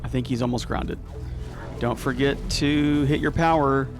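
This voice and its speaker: A man with a Texas accent giving casual, excitable voiceover commentary on his gameplay. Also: mid-range voice, moderate pace, over music. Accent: Texan. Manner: chatty, excitable, casual with filler words. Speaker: gaming YouTuber. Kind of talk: let's play voiceover commentary.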